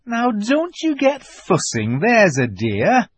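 A man voicing a brisk, unflappable, motherly female beaver in a storybook, with cosy, homely, practical talk. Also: high-pitched, huge pitch swings, breathy edge, no echo, pitched above the performer's own voice, full character voice. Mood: brisk, calm.

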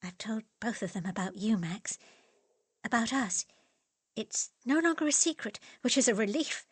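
A woman's voice: fairly high pitch, animated, moderate pace, husky, dry recording. A woman reading a heartfelt letter in the voice of an earnest young English woman. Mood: affectionate.

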